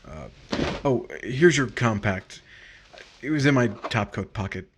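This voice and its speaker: Slow, halting, despondent husband acted by a man. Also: mid-range voice, expressive, noisy background, lightly acted. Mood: bitter, resigned. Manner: halting, hesitant, trailing off. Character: despondent man walking out on his marriage. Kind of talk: 1950s radio drama acting.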